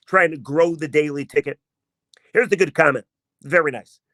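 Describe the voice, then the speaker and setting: A man hosting a sports podcast, talking in a casual, emphatic and self-mocking way, with a voice he himself calls not deep. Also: normal speed, a bit bright, dry recording, studio quality. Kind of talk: casual sports commentary monologue.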